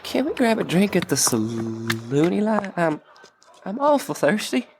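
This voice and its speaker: Slow, dim-witted bandit sidekick. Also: male voice, sits high, big pitch swings, vocal fry, over music, heavily acted. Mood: whiny, hopeful. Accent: rural American. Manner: slow, halting, stammering. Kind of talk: western audio drama acting.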